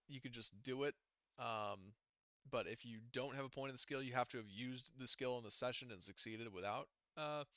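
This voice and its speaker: A man running a tabletop game as game master, casually explaining rules and haltingly thinking aloud. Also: medium pitch, bright, forceful tone, clear, resonant tone, dry recording, highs cut off.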